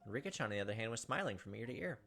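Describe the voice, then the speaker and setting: A man's voice: mid-range voice, expressive, very fast, vocal fry, a bit bright, soft background music. A man hosting a gaming video, reading visual novel narration and commenting casually.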